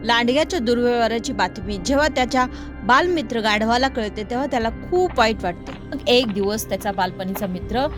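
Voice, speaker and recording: Female storyteller for children's tales, higher-pitched voice, light music underneath. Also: a little fast. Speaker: narrator. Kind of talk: animated children's moral story narration.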